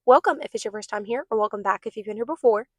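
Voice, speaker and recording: A hoarse young woman giving an earnest, encouraging devotional talk straight to her viewers as host of her own channel. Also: mid-range voice, very fast, no echo, clean studio sound. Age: young adult. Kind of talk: casual devotional talk to camera.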